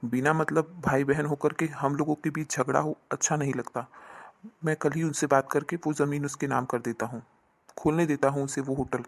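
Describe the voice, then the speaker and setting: A man playing a stern, reproachful husband who lectures emphatically in an animated family moral drama. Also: a little high, normal speed. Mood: firm, resigned. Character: stern, reproachful husband defending his sister.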